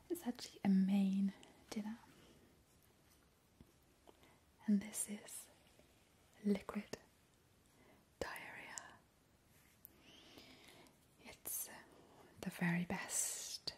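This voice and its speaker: A woman playing a playfully creepy, doting vampire in a breathy, cooing ASMR whisper. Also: medium pitch, mellow. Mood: coaxing, sinister. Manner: slow, lingering, cooing. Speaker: ASMR performer. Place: small indoor kitchen.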